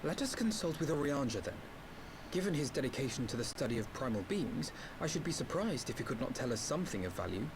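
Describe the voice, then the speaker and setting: A man's voice: mid-range voice, sing-song, fast. An actor playing an earnest, courteous young male scholar in a fantasy game, formal and articulate. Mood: thoughtful. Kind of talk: fantasy game cutscene acting. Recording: quiet game audio under loud noise.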